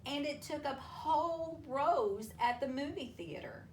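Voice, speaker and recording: A woman reading a children's storybook aloud to families, with expressive, deliberate delivery and drawn-out emphasis. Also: high voice. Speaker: school superintendent reading a picture book aloud to families. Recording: roomy, distant mic with faint noise.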